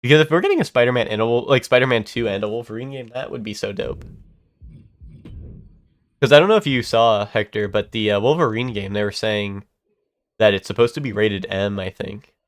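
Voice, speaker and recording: A man's voice: fairly low pitch, fairly quick. Rambling congested young man streaming. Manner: rambling, heavy on fillers and restarts, swears casually. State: congested from a cold. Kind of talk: casual chat while gaming.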